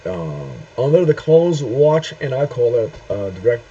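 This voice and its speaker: A middle-aged man from Turkey, speaking a halting opinion monologue to camera with many pauses and "uh"s. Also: medium pitch, big pitch swings, warm tone. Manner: halting, searching for words with frequent "uh" and "um" pauses. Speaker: video host. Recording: dull lo-fi sound with faint noise.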